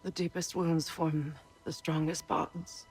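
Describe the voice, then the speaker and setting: Casting: regal game goddess, low register, formal and weighty, proud warrior mother. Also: flat delivery, slow pace, a little mellow, slightly rough, faint music behind, full character voice. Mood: bitter, resolute. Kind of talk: dramatic video game cutscene acting.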